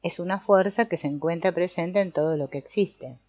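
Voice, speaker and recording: A woman's voice: lowish voice, a little mellow, no room sound, natural voice. A woman reading as an old sorcerer teacher. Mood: explaining. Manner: measured, explanatory reading with small restarts. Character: old male sorcerer teacher, calm and didactic. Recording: dull, muffled audio.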